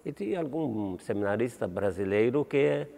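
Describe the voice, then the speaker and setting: A man in his seventies from Malta, speaking with a Maltese accent, a priest answering interview questions in a halting way, restarting words and asking "entende?". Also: mid-range voice, animated, gravelly, dark tone, in a large hall. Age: 70s.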